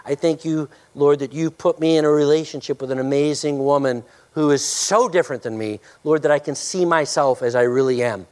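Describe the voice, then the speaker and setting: Emphatic preaching man, loud voice. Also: fairly high pitch, fairly quick, few pauses. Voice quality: loud, forceful voice. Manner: emphatic preaching that drops into a soft, hushed prayer. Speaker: pastor. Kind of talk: sermon and closing prayer.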